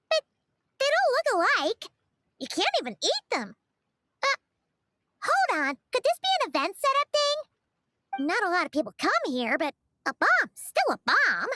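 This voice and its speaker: Super high squeaky little game sidekick girl, chirpy, bouncing all over the place. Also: bright, forceful tone, no room sound, studio quality, exaggerated voice. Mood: surprised, then thoughtful, chatty. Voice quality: squeaky, childlike. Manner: chirpy, refers to self in third person. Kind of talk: video game character acting.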